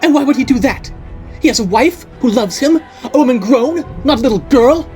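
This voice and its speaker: Shrill unhinged noblewoman. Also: lively intonation, moderate pace, darkish tone, faint music behind. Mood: accusing. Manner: shrill, accusing, ranting. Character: unhinged jealous middle-aged noblewoman aunt. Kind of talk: audiobook character voice.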